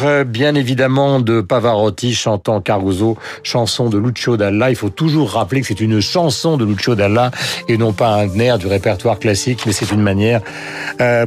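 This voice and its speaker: A man hosting a live radio discussion, talkative and given to digressions. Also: mid-range voice. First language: French. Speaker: radio host.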